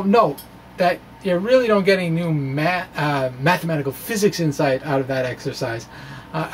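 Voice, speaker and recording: A middle-aged man talks through science as an informal video blogger. His delivery is wry and halting, with bursts of theatrical emphasis. He speaks into a microphone in a small room, with faint noise behind him. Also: high voice. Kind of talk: informal explanatory monologue, dramatic reading of own blog. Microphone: handheld-style microphone on stand in front of him. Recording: clear background room noise.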